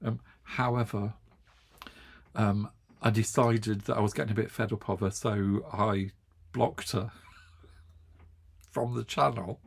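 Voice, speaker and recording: A middle-aged Englishman with an English accent talks casually to his viewers in a wry, amused tone. His voice sits fairly low and has a gravelly, slightly husky grain. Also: steady tone, takes it slowly, pauses often. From England. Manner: chatty, wry, chuckles often. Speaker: knitting channel host. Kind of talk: casual chat to camera.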